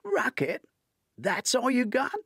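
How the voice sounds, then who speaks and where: A man's voice: very high voice, huge pitch swings, slow, vocal fry, a bit bright, slightly rough, no echo, studio quality, voice pushed up, full character voice. A man voicing a brash, pushy buddy in an audiobook, mocking and needling in punchy bursts. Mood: teasing.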